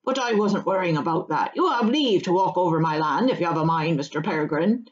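Storybook hobbit farmer, played by a woman: loud, rustic, blunt and hearty, slightly dull recording. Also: fairly low pitch, expressive, a little fast, echoey room, natural voice. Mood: shrewd, friendly. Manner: rustic, colloquial, blunt turns of phrase. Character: broad red-faced male hobbit farmer, gruff but hearty.

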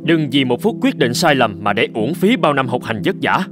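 A man's voice: medium pitch, big pitch swings, moderate pace, over music, lightly acted. A man voicing a stern, practical middle-aged surgeon in a cartoon. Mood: exasperated. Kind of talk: cartoon dubbing.

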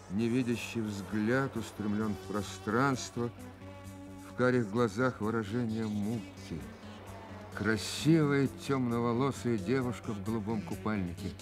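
A male narrator delivers scripted, dramatic storytelling for a radio play. His voice sits at a medium pitch, and music plays clearly beneath it.

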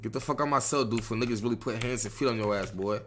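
A man's voice: lowish voice, quick pace, fairly bright tone, slight background noise. A young man streaming game role-play, bantering casually in brash, profane slang.

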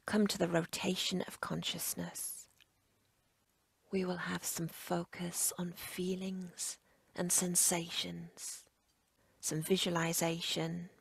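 A woman leads a guided relaxation in a very creaky, husky near-whisper. Her delivery is unhurried and soothing, laid out in short measured phrases. The pitch barely moves as she instructs. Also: no echo. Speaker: yoga nidra instructor.

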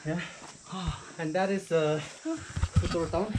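A man's voice: a little high, fairly even intonation, slow pace. A man with a Northeast Indian accent chatting casually as he guides companions around a cave. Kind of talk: casual chat on an outing. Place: outdoors at a rocky cave in forest. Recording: noisy outdoor camera sound.

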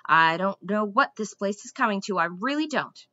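Woman acting a loud, indignant, scolding squirrel. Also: high-pitched, big pitch swings, normal speed, no echo, muffled recording, pitched above the performer's own voice, exaggerated voice. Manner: huffy, complaining, emphatic. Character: fussy, indignant, scolding fat gray squirrel. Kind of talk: audiobook character voice.

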